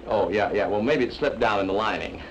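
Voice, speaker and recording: A man's voice: lowish voice, a little fast, dull audio, heavy background noise, lightly acted. An actor playing a flustered, fussy older man who stammers nervously while talking his way out of trouble, in a 1950s television comedy.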